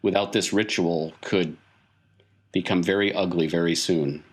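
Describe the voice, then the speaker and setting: Man, low voice, game master, improvised tabletop storytelling, explanatory and emphatic. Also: fairly even intonation, a little slow.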